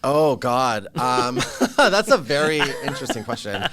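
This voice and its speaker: A man's voice: medium pitch, very animated, normal speed, background noise. Guy around 40 from vancouver, chatty and laughing a lot, telling his story on a podcast. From Canada (Vancouver area). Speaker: podcast guest. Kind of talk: casual interview chat.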